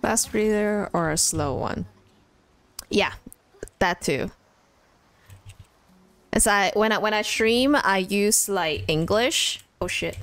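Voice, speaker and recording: A woman's voice: medium pitch, lively intonation, slow, pauses often, fairly bright tone. A young woman whose first language is Chinese, chatting casually while she streams a game, with many fillers and restarts. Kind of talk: casual chat while gaming. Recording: faint music behind.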